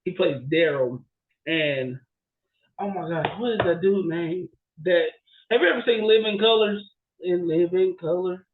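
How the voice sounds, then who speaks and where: Male podcast co-host, high voice, rambling and animated, casual conversational delivery. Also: measured pace, phone-quality sound, background noise. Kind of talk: casual chat about bad movies.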